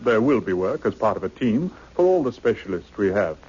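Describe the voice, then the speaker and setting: An actor playing a self-assured, cautious British government official with a clipped, weighty manner in a radio drama. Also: male voice, on the low side, sing-song, fairly quick, a little mellow, a little hiss or hum. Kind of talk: old radio drama acting. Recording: muffled old-time radio recording.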